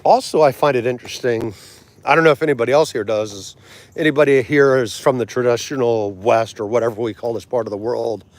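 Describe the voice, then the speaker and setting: A man, hosting a casual livestream, laughing easily and chatting with viewers. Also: mid-range voice. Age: 40s. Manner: chatty, laughs easily, tries foreign words slowly. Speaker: livestream host.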